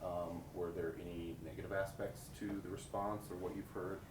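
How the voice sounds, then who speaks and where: A man interviewer with a fairly low voice asks informal questions. He sounds away from the microphone in an echoey, noisy recording. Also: little pitch movement, normal speed. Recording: distant, echoey mic with clear noise.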